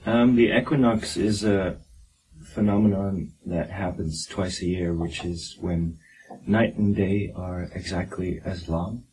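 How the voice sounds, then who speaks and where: A man and musician calmly explaining ideas in an interview, with filler pauses. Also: low voice, far from the mic, a little hiss or hum, poor audio. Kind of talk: casual interview answers.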